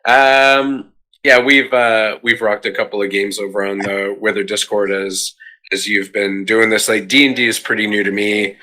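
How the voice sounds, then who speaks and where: A man's voice: fairly low pitch, flat delivery, moderate pace, bright, forceful tone, low-quality recording. A man chatting casually as he joins in to ask questions. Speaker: guest interviewer. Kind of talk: casual chat.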